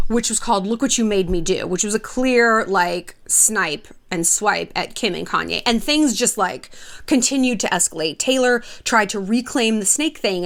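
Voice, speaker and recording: A woman talks straight to her online audience as the host of the video. Her voice sits at an average pitch for a woman. She is chatty and irreverent, delivering gossipy commentary in a casual, sassy style and swearing freely. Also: fairly bright tone.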